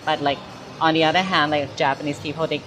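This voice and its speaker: A woman's voice: deep voice, steady tone, quick pace, background noise. A woman in her thirties with a Thai accent, chatting casually in an interview with lots of fillers and restarts, recorded on a lapel mic in a large indoor mall. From Thailand. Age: 30s. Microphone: clip-on lapel mic.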